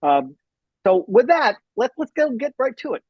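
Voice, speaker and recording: A man's voice: very high voice, very animated, moderate pace, darkish tone, no room sound, highs cut off. A man hosting an interview series over a video call, friendly and prone to repeating words. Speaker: interview host.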